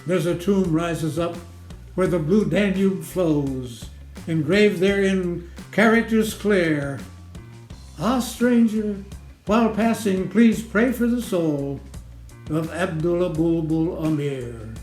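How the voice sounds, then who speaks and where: A man giving a theatrical recitation of a comic rhyming ballad in a measured, sing-song rhythm. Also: high-pitched, darkish tone. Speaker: poetry reader. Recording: roomy echo, music underneath. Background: music.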